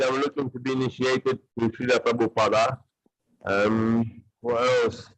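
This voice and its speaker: A man's voice: mid-range voice, dry recording, low-quality recording. A man in his forties from Poland with a Polish accent, speaking hesitantly and searching for words on a video call. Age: 40s. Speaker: guest participant. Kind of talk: casual video-call conversation.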